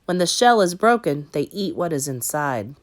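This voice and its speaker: A woman reading the part of a gentle, steady, plain-spoken woman newcomer in a children's storybook. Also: deep voice, moderate pace.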